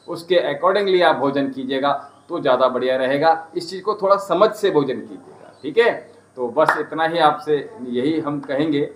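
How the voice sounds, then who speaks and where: A man's voice: mid-range voice, a bit bright, reverberant, poor audio. A man teaching yoga and ayurveda on a live stream, earnestly urging listeners with repeated polite requests, with a western Uttar Pradesh Hindi accent. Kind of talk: live-streamed spiritual health talk.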